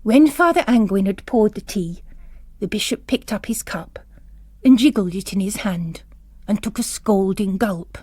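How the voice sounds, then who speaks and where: A woman narrating literary fiction in a dramatic, expressive, deliberate way. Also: medium pitch, dry recording.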